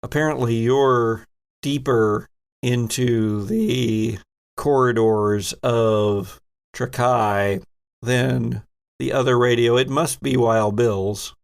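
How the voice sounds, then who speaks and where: Gravelly man narrating tabletop horror. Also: mid-range voice, steady tone, slow. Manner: descriptive, suspenseful storytelling. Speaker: game master and host. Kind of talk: dramatic improvised narration of a tabletop horror game.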